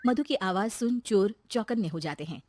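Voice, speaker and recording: A woman's voice: medium pitch, expressive, moderate pace, darkish tone, faint music behind. A woman reading scripted narration for a children's story as the storyteller.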